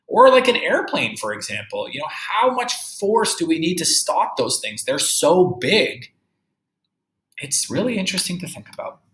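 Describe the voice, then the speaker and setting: A man teaching young children through a read-aloud, reading deliberately and musing aloud with questions for his pupils. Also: a little high, big pitch swings, fairly bright tone. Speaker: third-grade teacher. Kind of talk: read-aloud lesson with think-aloud commentary. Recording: roomy, echoey indoor sound.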